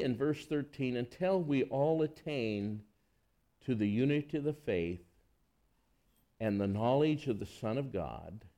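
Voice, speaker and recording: A man's voice: medium pitch, warm tone. A male pastor giving a conversational sermon, reading scripture and asking the congregation rhetorical questions.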